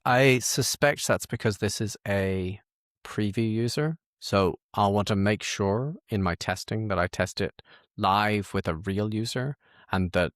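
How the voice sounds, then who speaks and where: A man hosting a casual software tutorial, thinking aloud and correcting himself as he works through the screen in an informal way. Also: fairly low pitch, no echo, studio quality.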